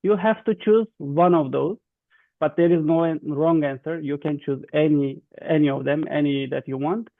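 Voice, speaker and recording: A man with a Turkish accent explains instructions to an online group, halting and repeating himself in non-native English. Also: a little high, no room sound. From Turkey. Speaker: youth webinar facilitator. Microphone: video call. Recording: thin, dry phone-like online call.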